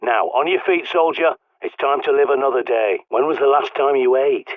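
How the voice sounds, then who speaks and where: An actor playing a blunt, sweary, paranoid survivalist mentor in a video game, with an English accent, heard through a radio filter. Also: male voice, mid-range voice, normal speed, no room sound. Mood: brisk. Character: gruff paranoid doomsday survivalist man, bossy mentor. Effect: radio transmission filter. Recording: muffled narrow-band radio sound.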